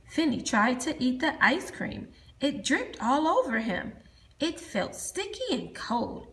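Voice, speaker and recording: Slow, very expressive woman storyteller. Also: high-pitched. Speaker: teacher reading aloud. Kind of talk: children's storybook narration.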